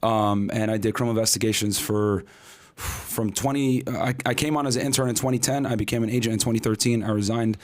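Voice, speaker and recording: A man in his thirties telling his story as a podcast guest, in casual, rapid talk full of fillers and acted-out quotes. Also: fairly low pitch, fairly even intonation. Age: 30s.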